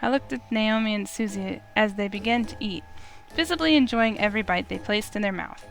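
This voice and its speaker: A woman's voice: mid-range voice, a little fast, gravelly. A woman reading a visual novel aloud in character as the heroine, with music behind her. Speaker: game streamer reading the heroine.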